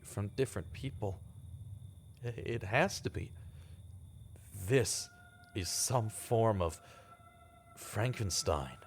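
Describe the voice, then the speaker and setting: A man acts as a grave investigator in an old-time radio horror play. He speaks slowly in a low voice, halting with dramatic dread, over loud music. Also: lively intonation. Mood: horrified. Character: grave, fearful male investigator hunting a monster. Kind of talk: vintage-style radio drama acting.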